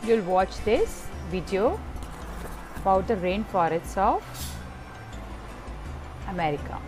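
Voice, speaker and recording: A woman's voice: mid-range voice, sing-song, slow, music in the background. A woman teaching young children in an online class. She reads from a textbook and explains it patiently, step by step.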